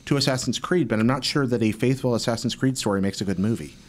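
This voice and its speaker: A man's voice: medium pitch, fast. A man on a podcast panel giving hesitant, self-correcting opinions in casual conversation. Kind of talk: casual discussion.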